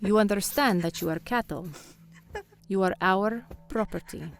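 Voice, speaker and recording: A woman's voice: mid-range voice, a little slow, vocal fry, faint music behind. A woman playing a cold, commanding vampire crime boss in a radio play, speaking formally and with menace.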